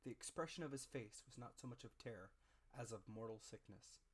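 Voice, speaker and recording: Male narrator, mid-pitched voice, dramatic audiobook reading, measured, expressive storytelling. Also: slightly rough.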